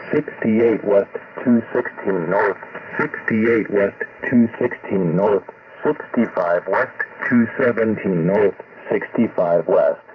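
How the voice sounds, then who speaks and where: Slow low man on crackly shortwave. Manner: mechanical, list-like reading of coordinates. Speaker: marine weather broadcast voice. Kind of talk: automated marine forecast reading. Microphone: received over shortwave radio. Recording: tinny shortwave radio with static.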